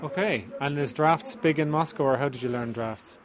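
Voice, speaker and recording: A man conducting a casual radio interview outdoors, teasing with quick follow-up questions. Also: fairly high pitch, crowd noise. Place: outdoors among a crowd.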